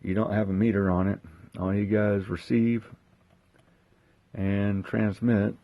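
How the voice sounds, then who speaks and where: A man giving a casual hands-on demonstration, thinking aloud in an unhurried way as he handles the gear. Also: lowish voice, level tone, narrow, tinny audio. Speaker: host of a gear unboxing and testing video.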